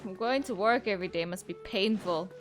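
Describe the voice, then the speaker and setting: A woman's voice: medium pitch, fairly quick, music in the background. Giggly young woman reacting to a game. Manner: chatty, giggly, full of "like". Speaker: game streamer. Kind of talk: casual let's-play commentary.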